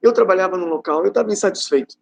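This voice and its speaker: A middle-aged man from Rio de Janeiro gives an informal religious talk as a rabbi, telling stories in a conversational, halting way with rhetorical questions. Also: high-pitched, creaky, slight background noise. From Rio de Janeiro, Brazil. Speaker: rabbi giving a class.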